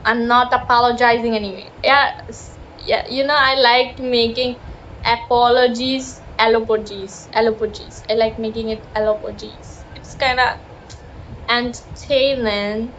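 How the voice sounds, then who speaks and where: A woman's voice: on the high side, steady tone, unhurried, fairly bright tone. Rambling young Gujarati-accented woman vlogging. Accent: Gujarati-influenced Indian English. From South Gujarat, India. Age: young adult. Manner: rambling, self-deprecating, apologetic, frequent fillers. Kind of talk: casual chatty vlog. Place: outdoors near busy traffic with honking. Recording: dull lo-fi phone audio with faint street noise.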